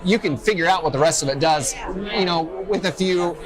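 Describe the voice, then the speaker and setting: A man's voice: on the high side, fairly quick, a crowd in the background. A man co-hosting a casual fan discussion, speaking in an opinionated, enthusiastic way, recorded at a noisy convention. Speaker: podcast co-host. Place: loud festival venue. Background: loud music.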